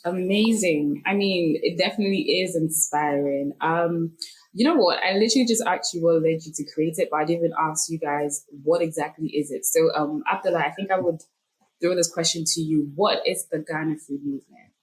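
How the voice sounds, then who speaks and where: A woman's voice: sits low, a little fast, poor audio. A woman hosting a podcast interview, upbeat and chatty, laughing easily. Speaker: podcast host. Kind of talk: casual interview.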